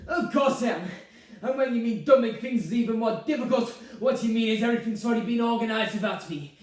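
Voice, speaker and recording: A man voicing an indignant, self-pitying older mother in a stage monologue, with a sharp, wounded, accusatory manner. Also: very high-pitched, a little fast, pitched above the performer's own voice. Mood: wounded, accusing. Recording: echoey room, distant microphone.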